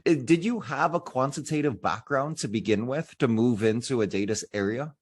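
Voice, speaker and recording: A man's voice: medium pitch, brisk. A man hosting a podcast, upbeat and enthusiastic as he introduces the show and questions his guest. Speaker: podcast host. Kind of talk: scripted intro, then interview chat.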